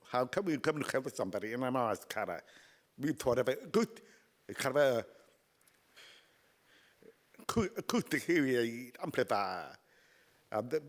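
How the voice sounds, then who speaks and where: A man giving informal roundtable remarks as a panelist, haltingly and repeating his words. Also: medium pitch. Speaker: panelist, lab head.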